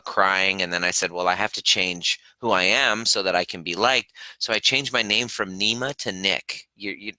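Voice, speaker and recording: A man who grew up in Canada after early years in Iran, hosting a live question-and-answer stream in an earnest, halting way with many restarts. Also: low voice, bright, forceful tone, no room sound, low-quality recording. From Iran, raised in Canada. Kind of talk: casual live-stream talk.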